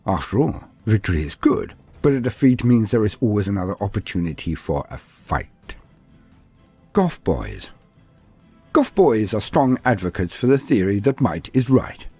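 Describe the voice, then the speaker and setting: A man's voice: fairly low pitch, sing-song, normal speed, dark tone, breathy edge, no echo. A man reading scripted fantasy battle lore as a narrator, with a grave, theatrical delivery. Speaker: narrator of a fantasy war-game lore video. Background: music.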